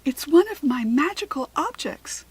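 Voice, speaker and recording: A woman's voice: lively intonation, moderate pace, darkish tone. A woman voicing a small, anxious sports fairy from a children's storybook, pleading urgently and indignantly. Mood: worried, indignant. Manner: urgent, high-pitched pleading. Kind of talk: children's storybook character reading.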